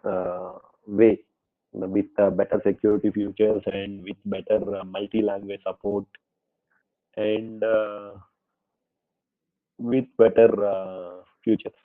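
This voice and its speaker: A man's voice: lowish voice, little pitch movement, slow pace, pauses often. A man with an Indian English accent giving an online workshop lecture, a little under the weather with a cold, hesitant with many fillers and politely calling his listeners sir. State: voice affected by fever and cold. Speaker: presenter at an online faculty workshop. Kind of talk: lecture presentation with Q&A. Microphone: online meeting audio. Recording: tinny phone-like online call audio.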